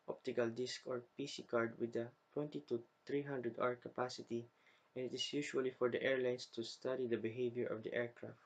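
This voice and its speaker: Young guy reading his slide script out loud, student presentation, normal pitch, careful phrase by phrase. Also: rough recording. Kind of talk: scripted slide narration, read aloud.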